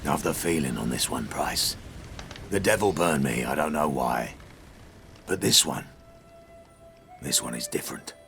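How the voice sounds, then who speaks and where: Pirate captain, wry and ruthless, sharp voice that swoops around, old sailor talk, audio drama. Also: male voice, extremely low pitch, animated, unhurried, creaky, long pauses, soft background music, heavily acted. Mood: brooding. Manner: archaic seafaring speech, commanding. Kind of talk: full-cast audio drama acting.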